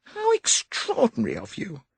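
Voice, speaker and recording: A man's voice: high-pitched, wildly swooping pitch, moderate pace, vocal fry, slightly rough, no room sound, voice pushed up, heavily acted. A man voicing an anxious, indignant young woman in an audiobook. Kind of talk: audiobook character reading.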